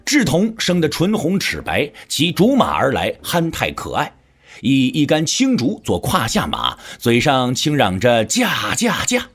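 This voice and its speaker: Husky, highly animated male storyteller. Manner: storyteller's measured, expressive delivery. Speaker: audiobook narrator. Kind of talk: dramatic scripted narration of a martial-arts novel. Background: background music.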